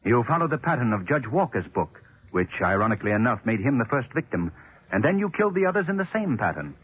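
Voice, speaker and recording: An actor playing a resolute male sleuth in 1940s radio drama, speaking in a commanding, deliberate, articulate way. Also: mid-range voice, big pitch swings, natural voice. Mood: grave, deliberate. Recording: dull 1940s radio recording.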